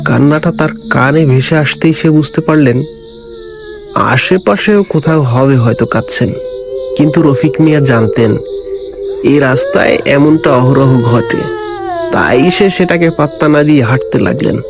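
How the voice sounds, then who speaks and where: A man's voice: fairly high pitch, moderate pace, music in the background. A man narrating a scripted ghost story as a storyteller.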